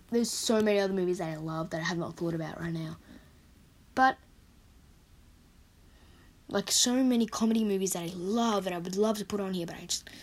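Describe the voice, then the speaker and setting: Young adult woman, casual vlog chat, hesitant and rambling with frequent fillers. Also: medium pitch. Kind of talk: casual chat about favorite movies.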